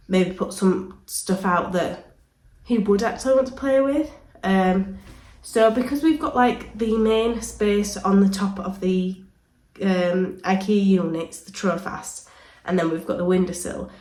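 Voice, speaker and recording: A woman talks through her home vlog in a loose, rambling way, with plenty of ums along the way. She is full of cold and has a sore throat. Her voice sits at an ordinary middle pitch, and the room around her sounds echoey. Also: darkish tone. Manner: chatty, rambling with frequent ums. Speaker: vlogger. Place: indoors, home playroom.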